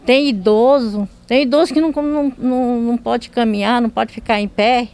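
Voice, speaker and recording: A Brazilian woman, a local resident, speaking outdoors into a reporter's handheld mic, halting and repeating herself as she complains. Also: a little high, steady tone, a little mellow. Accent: Brazilian Portuguese. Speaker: resident at a protest. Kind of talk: street interview. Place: outdoors at a health post door.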